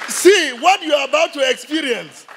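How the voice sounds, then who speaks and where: High-voiced pastor calling on the congregation. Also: male voice, lively intonation, a bit bright, a faint crowd, a little hiss or hum, poor audio. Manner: short calls and prompts, brisk. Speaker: pastor leading the service. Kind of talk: leading an offering on stage. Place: large indoor church hall.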